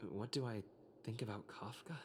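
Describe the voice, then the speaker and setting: An actor playing a reserved young video game protagonist, thinking aloud in a hushed, hesitant way. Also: mid-range voice, moderate pace, noisy background, natural voice. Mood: pondering.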